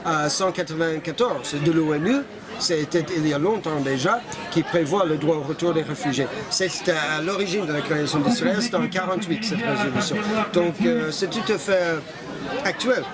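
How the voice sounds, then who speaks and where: Older man from Canada, hesitant, slightly high voice, street interview amid a crowd. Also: normal speed. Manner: hesitant, many fillers and restarts. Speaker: interviewee, association founder. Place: outdoors in a busy street. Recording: loud street crowd behind. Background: crowd noise.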